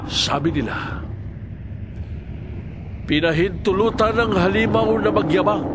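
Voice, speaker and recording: A man narrating a Bible audio drama in a solemn, deliberate way, with dramatic swells in his delivery. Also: a little high, background noise. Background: music.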